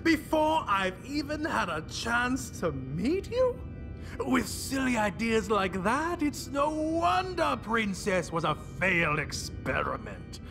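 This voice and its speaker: An actor playing a flamboyant, ancient mastermind villain in a video game, pompous, grandiose and mock-polite with theatrical delivery. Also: male voice, extremely high pitch, very animated, slow, vocal fry, over music, heavily acted.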